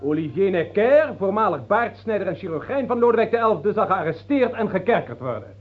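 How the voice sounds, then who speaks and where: A sly, power-hungry nobleman in a radio play. His voice is high and swings widely, declaiming orders with sardonic authority. The old recording sounds dull. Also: very animated, brisk, soft background music. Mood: triumphant, commanding. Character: scheming, imperious male nobleman seizing power at court. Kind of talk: theatrical historical radio drama.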